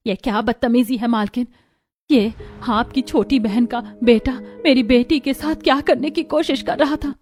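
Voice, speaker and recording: Lady doing a poor maid mom in a moral story, earnest n pleading, high voice, music behind. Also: fairly even intonation, normal speed, a little mellow, lightly acted. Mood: indignant. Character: poor, earnest mother working as a housemaid. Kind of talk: dramatized Hindi moral story acting.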